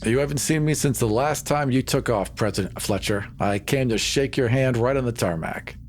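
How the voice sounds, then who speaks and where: Man as persuasive sci-fi colony spokesman; earnest, assertive, mid-pitched, pauses for emphasis. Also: a little hiss or hum, lightly acted. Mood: smoothly flattering. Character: assertive, smooth-talking male Mars colony promoter. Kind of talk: improvised roleplay dialogue.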